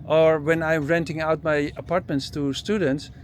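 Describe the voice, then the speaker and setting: Male Dutch-accented travel host, talkative and jokey, slightly high voice, some outdoor noise. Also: steady tone, normal speed. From Netherlands. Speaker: travel vlogger and interviewer. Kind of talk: casual street chat. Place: outdoors by parked camper vans.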